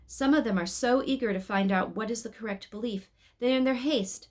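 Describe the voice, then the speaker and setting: A woman voicing a patient male angel guide in a storybook, explaining things in a measured, instructive way. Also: a little high, a little mellow, pitched above the performer's own voice, natural voice.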